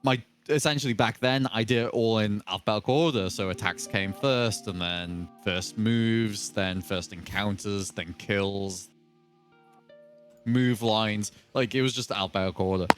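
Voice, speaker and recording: A man talking casually on a podcast in a halting, conversational storytelling way. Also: lowish voice, soft background music. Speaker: podcast panelist. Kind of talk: casual podcast anecdote and chat.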